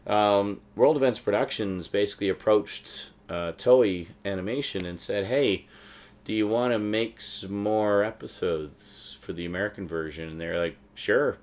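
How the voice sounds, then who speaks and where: Deep-voiced man, rambling fan chat. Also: a little slow. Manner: rambling, enthusiastic fan talk. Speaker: vlogger, collector showing DVD purchases. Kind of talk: casual unscripted show-and-tell commentary.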